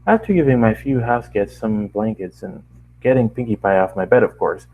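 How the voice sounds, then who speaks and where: A low-voiced man narrates a story on a slightly muffled, lo-fi microphone. He reads as an amateur, stumbling over phrases and going back to repeat them. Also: lively intonation, normal speed. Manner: stumbles over lines and rereads them, apologising. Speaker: amateur fiction reader and narrator. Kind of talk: reading a first-person fan story aloud.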